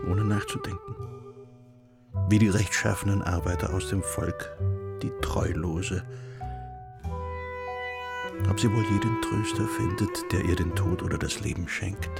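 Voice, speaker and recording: Brooding husband, torn and self-mocking, low creaky male voice, radio drama. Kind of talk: German radio play acting.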